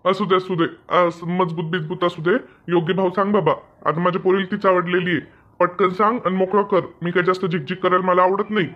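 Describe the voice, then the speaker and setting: A man's voice: sits high, little pitch movement, fairly quick, natural voice. A man voicing a plain-spoken, impatient village father in a comedy cartoon, blunt but placating, on a lo-fi recording. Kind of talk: Marathi comedy cartoon dub.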